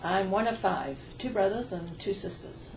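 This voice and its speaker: An older woman from Zimbabwe, a guest in a radio interview, gracious and quick to laugh. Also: mid-range voice, expressive, vocal fry. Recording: distant mic with noticeable noise.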